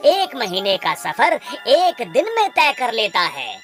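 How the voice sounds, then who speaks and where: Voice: medium pitch, wildly swooping pitch, takes it slowly. A performer voicing a sly, scheming royal minister in a cartoon, muttering and calculating. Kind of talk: exaggerated Hindi cartoon story acting. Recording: music behind the voice.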